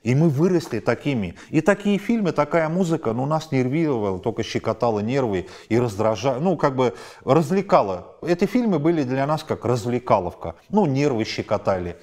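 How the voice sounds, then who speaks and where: A man in his forties, a film director answering interview questions in an animated way, stacking examples with rising emphasis, recorded seated in a high-rise apartment at night. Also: fairly quick, few pauses. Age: 40s.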